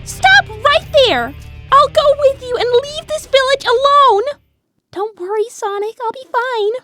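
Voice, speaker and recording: A young hedgehog princess for a cartoon dub. The voice is extremely high and lively in its swings, earnest and caring, ready to sacrifice herself. Music plays behind the dialogue. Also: female voice, measured pace, no echo, full character voice. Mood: brave, resigned. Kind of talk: cartoon comic dub acting.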